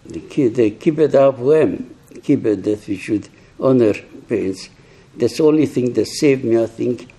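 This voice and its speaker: An elderly man recounts his memories slowly, in halting, reflective phrases with frequent restarts. His voice is very dark and mellow, with a husky grain. He is hard of hearing. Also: medium pitch. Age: older, 80s or 90s. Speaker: survivor giving testimony to young people. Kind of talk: personal testimony talk.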